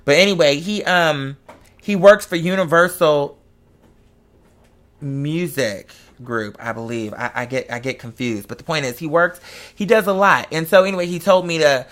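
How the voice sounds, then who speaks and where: A man's voice: fairly high pitch, normal speed, fairly bright tone. A man in a casual, chatty monologue, speaking in a sassy, campy, slangy and emphatic way.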